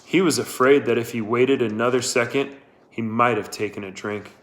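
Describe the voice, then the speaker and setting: A man's voice: mid-range voice, fairly even intonation, normal speed. A man reading a novel aloud as its narrator. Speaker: audiobook-style narrator.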